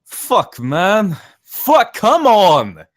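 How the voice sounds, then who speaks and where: A man acts out a surly, demanding spaceship boss in a sci-fi script read. He talks slowly in a mid-range voice with animated swings of pitch. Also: dry recording, studio quality, exaggerated voice. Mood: exasperated. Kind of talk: screenplay table read acting.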